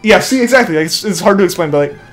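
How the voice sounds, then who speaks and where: A man's voice: high-pitched, expressive, very fast, fairly bright tone, faint music behind. Rambling young man on a live stream. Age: young adult. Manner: rambling, filler-heavy, keeps saying like. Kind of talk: casual live-stream chat, reading viewer comments. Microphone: headset mic feeding back to headphones.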